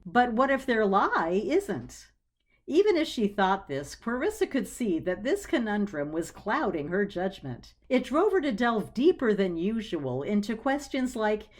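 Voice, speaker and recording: A woman reading scripted story narration in a wry, conversational storytelling manner. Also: mid-range voice.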